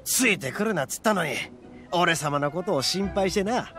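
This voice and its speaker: A man voicing a brash, boastful young oni gang boss in an anime game, with cocky, blustering, rough street talk. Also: high-pitched, expressive, normal speed, pushed and bright, faint music behind. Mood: sheepish, friendly.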